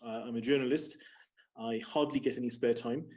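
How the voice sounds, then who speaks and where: Earnest, articulate man with mid-pitched voice. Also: fairly even intonation, brisk, darkish tone. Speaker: journalist, learner on the show. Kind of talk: unscripted personal talk to viewers.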